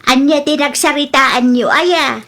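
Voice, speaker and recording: A gossipy neighbor in a radio play, with a high, bright and sharp voice. She leans in and shares what she has heard in a confiding tone. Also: unhurried, exaggerated voice. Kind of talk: radio drama acting.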